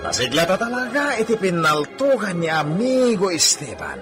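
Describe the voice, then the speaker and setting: An actor playing an excitable, eager young man in a radio drama, with a chuckling, drawn-out delivery. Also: high-pitched. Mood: eager, amused. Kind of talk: Ilocano radio drama acting. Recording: loud music and crowd noise behind.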